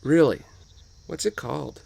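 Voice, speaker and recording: A man's voice: medium pitch, normal speed, gravelly, mellow. A man voicing an offhand fourteen-year-old girl in a table read of a screenplay. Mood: curious. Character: fourteen-year-old girl, distracted movie fan, curious.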